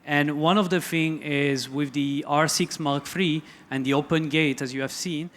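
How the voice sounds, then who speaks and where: A man's voice: on the high side, measured pace, a little hiss or hum. French-accented man giving a talk. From France. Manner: relaxed, loose, with many fillers and restarts. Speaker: photography lecturer. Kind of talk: conference talk.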